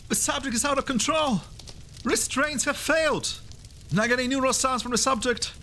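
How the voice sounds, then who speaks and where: An urgent, panicked lab technician played by a man shouts out reports in a very high, sharp voice. It is game-drama acting, with a faint noise bed. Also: normal speed. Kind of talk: English fan dub of an anime game.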